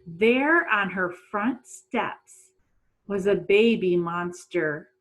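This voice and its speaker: A middle-aged woman, a teacher doing an expressive storytime for children and putting questions to young listeners. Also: medium pitch, very slow, dull audio. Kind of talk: read-aloud storytime for children. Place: small room at home.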